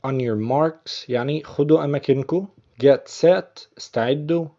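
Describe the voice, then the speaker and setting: A man with an Egyptian accent teaching English, patiently repeating film lines slowly before explaining them. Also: on the high side, dull audio, a crowd in the background. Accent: Egyptian Arabic.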